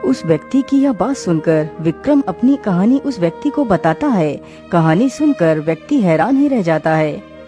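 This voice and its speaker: A woman's voice: lowish voice, lively intonation, normal speed, warm tone. Woman narrating a cartoon tale over music. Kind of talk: scripted storybook narration for a cartoon. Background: clear background music.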